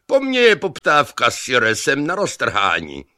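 Muž hraje drzého, netrpělivého a vychloubačného mladíka, který v povídce huláká a vytahuje se. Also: male voice, lowish voice, huge pitch swings, sharp tone, no room sound, studio quality, full character voice. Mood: impatient, boastful. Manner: hollering, cocky. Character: brash long-haired young man called Luck, boastful, impatient.

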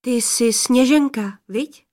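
A woman's voice: on the high side, darkish tone, natural voice. Fairy-tale youth played by a female reader: eager, cheerful, bold, earnest country boy. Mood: charming. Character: eager, cheerful young earthly lad, bold suitor.

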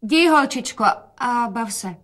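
A woman voicing a weary, wrinkled sick father in a story, speaking in a quiet, wistful and tender manner. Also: a little high, animated, pitched above the performer's own voice, close to an everyday voice.

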